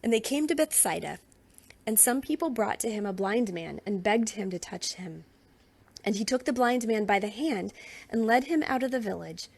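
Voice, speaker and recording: A woman reading a Bible narrative aloud in an expressive storytelling way. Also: medium pitch, moderate pace, gravelly, slightly rough. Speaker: scripture reader.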